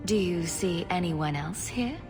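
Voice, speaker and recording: A woman's voice: on the low side, animated, a little slow. An actress playing a female human hero, an apostate mage refugee, speaking in an earnest, direct way. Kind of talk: video game voice acting. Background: game music score.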